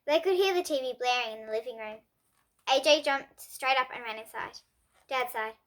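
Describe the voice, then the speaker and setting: A young girl reading a children's bedtime story aloud in an expressive way. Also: high-pitched, moderate pace. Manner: expressive, with emphatic character dialogue.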